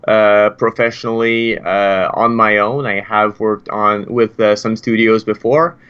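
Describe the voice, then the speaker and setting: A man's voice: fairly low pitch, steady tone, a little slow, a bit bright, clean tone, dull audio. A man in his thirties with a French Canadian accent, a game developer guest chatting easygoingly and hesitantly, with many fillers. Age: 30s. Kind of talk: casual interview chat.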